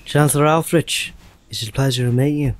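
A man playing a mock-polite, quietly threatening secret agent in a science fiction audio drama. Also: mid-range voice, a little fast, close to an everyday voice. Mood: mock-polite, cool. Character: smooth, coolly insolent male secret agent.

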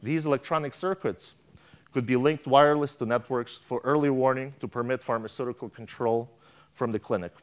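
A man's voice: mid-range voice, steady tone, a little fast. A middle-aged man giving a keynote on a large conference stage, reading a prepared speech from a tablet with frequent restarts and stumbles, on a lapel mic. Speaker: keynote speaker.

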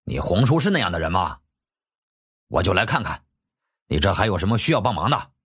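A man voicing a gruff, blunt middle-aged warrior uncle who grumbles, in an audiobook. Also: low voice, sing-song, fairly quick, a bit bright, full character voice. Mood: helpful, blunt.

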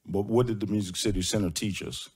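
A man's voice: lowish voice, fast, darkish tone, slightly rough. A middle-aged man from Nashville speaking emphatically at a podium microphone in a large meeting hall, asking rhetorical questions and saying uh often. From Nashville, Tennessee. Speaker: public commenter, construction manager. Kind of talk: public comment speech.

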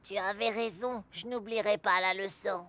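A woman voicing a flustered, hurried talking car in a French children's cartoon, anxious and exclaiming. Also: medium pitch, steady tone, lightly acted. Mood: apologetic. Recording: loud music and rain under voice.

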